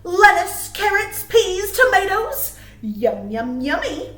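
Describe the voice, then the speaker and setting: A woman plays a determined storybook gardener, a huffy man with a high voice. He declares things emphatically and boastfully, and the room around him echoes. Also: big pitch swings, slow, a bit bright, heavily acted. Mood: eager.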